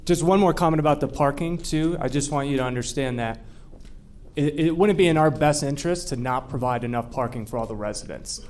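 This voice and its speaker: A man's voice: fairly high pitch, fairly quick, darkish tone. A man representing a developer politely answers residents at a public meeting in a conciliatory manner.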